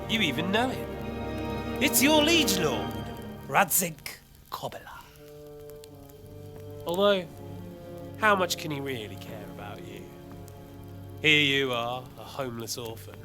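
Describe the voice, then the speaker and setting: A menacing nobleman villain from a medieval game. He is mock-courteous and gloating, in a raised, very animated voice that swoops theatrically. Music plays clearly behind him. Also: a little high. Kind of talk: video game cutscene acting.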